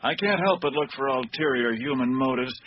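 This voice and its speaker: An actor playing a composed, analytical investigator in a radio mystery, speaking formally and deliberately on an old cassette recording. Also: male voice, medium pitch, lightly acted. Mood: calm, assured. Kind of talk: radio drama acting. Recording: dull, noisy old cassette tape.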